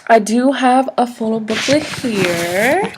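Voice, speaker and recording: A soft-spoken woman in an ASMR roleplay, acting as a polite, businesslike job interviewer who explains things a little hesitantly. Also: on the high side, lively intonation, slow, noisy background.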